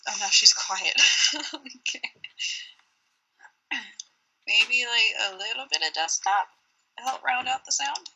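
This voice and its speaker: A chatty young woman giggles her way through a livestream. Her voice is very bright and a bit high. It carries a husky, breathy edge. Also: slow, pauses often, highs cut off. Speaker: livestream co-host. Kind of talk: casual livestream chat.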